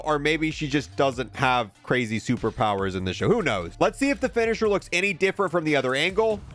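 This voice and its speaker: A man hosting a gaming video, giving casual showcase commentary on a video game. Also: high-pitched.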